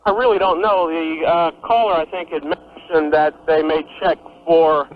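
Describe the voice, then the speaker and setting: Male radio caller, slightly high voice, matter-of-fact explainer, dull broadcast tape sound. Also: steady tone, normal speed. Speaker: caller to a radio show who works for a lottery. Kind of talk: explanatory phone-in conversation.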